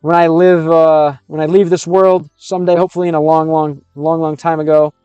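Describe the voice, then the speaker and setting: A man's voice: a little high, flat delivery, a little fast, warm tone, smooth, no echo, muffled recording, soft background music. A man livestreaming, chatting with viewers and translating game text as he learns a language, often restarting his sentences. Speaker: streamer learning Russian. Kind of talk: casual livestream chat with viewers.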